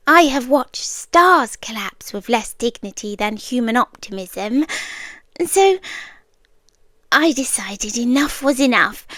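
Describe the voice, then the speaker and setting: A woman with a British accent playing an ancient, obsessive cosmic being in an intimate ASMR roleplay, speaking softly and deliberately, often whispering. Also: fairly high pitch, dry recording. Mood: pleading, awed. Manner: soft, deliberate, drifting into whispers. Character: ancient female cosmic entity, obsessive yandere girlfriend, wistful and sinister.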